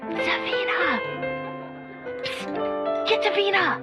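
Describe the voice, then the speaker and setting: A woman's voice: very high voice, lively intonation, slow, heavily acted. A woman playing a harried, placating radio show presenter in a comedic old-time broadcast, amid a noisy crowd and music. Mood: urgent.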